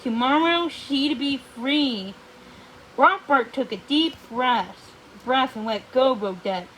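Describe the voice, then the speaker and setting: A woman narrating a storybook aloud, haltingly, often stumbling and going back over phrases. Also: sits high, a bit bright, a little hiss or hum, poor audio.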